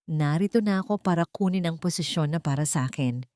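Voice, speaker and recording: A woman's voice: deep voice, a little fast, darkish tone, no echo, natural voice. A woman narrator plays a haughty young villainess from an audiobook melodrama. She is greedy and scheming, and talks in a sneering, demanding, theatrical manner. The sound is very dry and close. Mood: mocking, coy.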